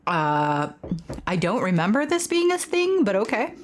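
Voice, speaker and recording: A woman's voice: medium pitch, big pitch swings, measured pace. A woman hosting a video game let's play, talking casually in a candid, slangy, informal way. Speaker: gaming video host. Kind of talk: casual let's play commentary with reading aloud of game dialogue. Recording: noticeable background noise.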